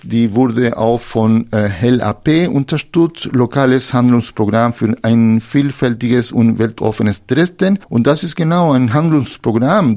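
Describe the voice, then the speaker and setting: A man's voice: mid-range voice, a little mellow. Male radio host, German speaker. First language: not German. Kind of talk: radio interview moderation in non-native German. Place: radio studio.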